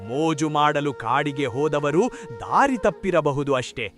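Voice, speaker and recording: A man's voice: a little high, expressive, a little slow, fairly bright tone, soft background music. A man playing an imperious king in a cartoon, theatrical and dramatic. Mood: scornful, imperious. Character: arrogant king, later a distraught remorseful father.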